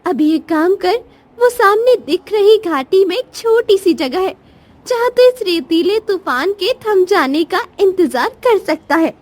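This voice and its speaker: Woman voicing very high-pitched motherly nature goddess. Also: expressive, a little slow, darkish tone. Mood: commanding. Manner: admonishing, maternal, commanding. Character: Mother Nature, stern yet motherly goddess to all creatures. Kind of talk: dramatic Hindi cartoon story dialogue.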